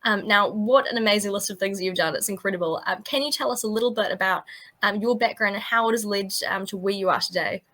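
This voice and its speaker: A woman's voice: medium pitch, quick pace, fairly bright tone. A woman hosting an interview, introducing and welcoming her guest with enthusiastic praise and frequent ums. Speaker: podcast host.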